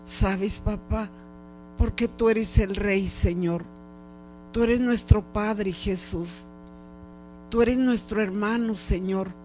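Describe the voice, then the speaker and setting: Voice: medium pitch, fairly even intonation, slow pace, long pauses, a little mellow, rough recording. A prayer leader speaking a fervent, improvised devotional prayer in short, pleading, repeated phrases. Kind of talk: fervent extemporaneous spoken prayer. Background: music.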